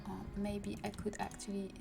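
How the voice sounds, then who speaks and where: A woman's voice: mid-range voice, completely flat delivery, moderate pace, vocal fry, heavy background noise. A woman from France with a French accent, chatting casually on a livestream while she works, often muttering to herself or dropping to a whisper. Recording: distant mic with music behind.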